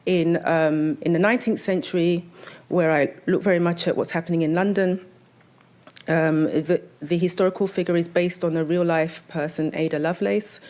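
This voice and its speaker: Casting: female author on a discussion panel, lowish pitch, conversational delivery, involves the listeners. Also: steady tone, moderate pace, a little mellow. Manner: conversational, puts questions to the audience. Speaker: novelist speaking as a panelist. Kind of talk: panel talk about her own novel.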